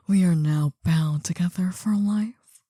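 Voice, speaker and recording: A woman's voice: lowish voice, slightly rough, no echo, clean studio sound. A woman playing a possessive older female mafia boss in an intimate ASMR roleplay, whispering breathily to the listener, coaxing yet menacing. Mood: solemn, possessive. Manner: slow, hushed, deliberate. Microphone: close dry microphone.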